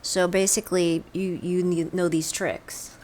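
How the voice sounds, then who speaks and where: A woman with a soft, slightly breathy voice sitting on the low side of her range. She co-hosts casually, telling short stories and steering the talk with questions. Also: flat delivery, measured pace, a little hiss or hum. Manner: prompting questions, storytelling. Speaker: co-host. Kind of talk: casual interview chat.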